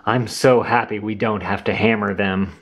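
A man's voice: on the low side, steady tone, normal speed, lo-fi sound, slight background noise, close to an everyday voice. A man voicing a curious, cheerful, literal-minded young girl in a children's storybook, eager and childlike. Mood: pleased.

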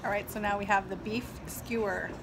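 A woman's voice: mid-range voice, fairly even intonation, brisk, over loud music. A woman in her thirties talking to a phone camera at arm's length, reviewing a restaurant in a chatty, enthusiastic way. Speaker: food vlogger. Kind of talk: casual restaurant review vlog. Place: indoors in a restaurant, later outdoors by a parking lot. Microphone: phone camera held at arm's length.

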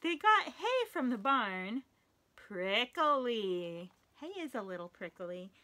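A young woman as a children's librarian reading a picture book aloud at story time, animated and sing-song, with playful animal noises. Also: fairly high pitch, slow. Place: small indoor room, wood-panelled.

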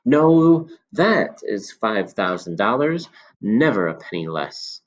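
A man voicing a sly elderly male potion seller who coaxes with smooth, insinuating persuasion. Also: on the low side, big pitch swings, takes it slowly, lo-fi sound, voice pushed up. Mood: coaxing.

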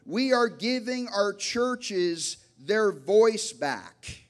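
A man in his thirties preaching a sermon on stage into a handheld mic, emphatic and deliberate, with dramatic pauses. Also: high-pitched, expressive. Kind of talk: sermon to a church congregation. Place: large dark church auditorium, on stage before an audience. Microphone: handheld microphone.

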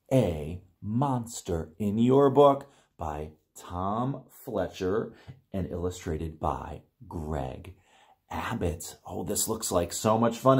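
A man in his forties reading aloud to young children as a storytime host, with an exaggerated, playful, sing-song delivery. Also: low-pitched. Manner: animated, exaggerated, sing-song repetition with gasps. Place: small plain room indoors.

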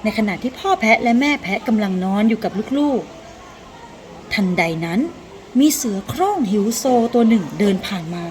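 A woman narrating a children's tale as a storyteller, in an expressive, deliberate, dramatic scripted style. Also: medium pitch, slight background noise.

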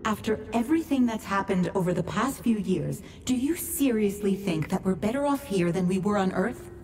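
A woman's voice: medium pitch, lively intonation, normal speed, a little mellow, lightly acted. A woman acting a frustrated, pleading colonist who challenges leadership, earnest and argumentative, in a video game cutscene. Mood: urgent, insistent. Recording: distant mic, music behind.

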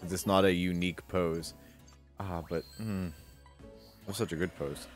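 A man's voice: sits low, steady tone, measured pace. A man in his thirties co-hosting a game stream with casual, jokey, profane banter. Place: indoor streaming setup. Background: video game music.

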